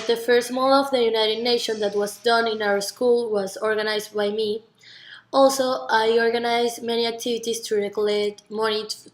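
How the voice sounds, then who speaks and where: Young Venezuelan woman in an echoey room. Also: fairly high pitch. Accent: Venezuelan Spanish. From Margarita, Venezuela. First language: Spanish. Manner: earnest, non-native English phrasing with stumbles on words. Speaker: university student being interviewed. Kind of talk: rehearsed interview self-presentation. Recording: echoey, roomy sound.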